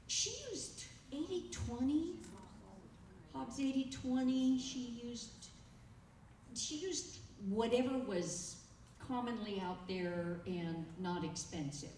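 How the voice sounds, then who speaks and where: A woman presenting informally at a club meeting, telling stories and taking questions in a halting, pausing way. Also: on the high side, darkish tone. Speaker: presenter at a quilt guild meeting. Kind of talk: informal talk and audience questions. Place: echoey meeting room. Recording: echoey, distant mic, faint room noise.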